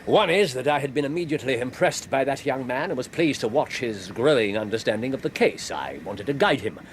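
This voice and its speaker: An actor playing a brisk, clever, self-assured Victorian English detective in a radio drama, precise, theatrical and wry. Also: male voice, medium pitch, animated, slight background noise, natural voice. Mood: wry candour.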